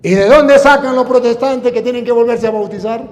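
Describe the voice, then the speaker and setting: High-pitched Venezuelan priest preaching in a hall. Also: male voice, a little mellow. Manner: emphatic, declamatory, drawn-out key phrases with rhetorical questions. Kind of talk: sermon. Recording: echoing hall with long reverb.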